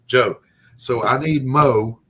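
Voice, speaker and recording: A man hosting a casual podcast chat, animated and playfully exasperated. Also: mid-range voice, slow, slight background noise. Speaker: podcast host.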